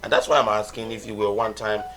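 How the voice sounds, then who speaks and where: A man's voice: fairly low pitch, fairly even intonation, fast, fairly bright tone, a little hiss or hum. A man, hosting a conversational interview in an informal, admiring way. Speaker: interview host. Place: TV studio, seated.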